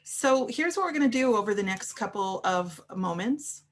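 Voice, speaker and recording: A woman's voice: mid-range voice, animated, normal speed. A woman education professor giving an online class over a video call, chatty and friendly, with many fillers.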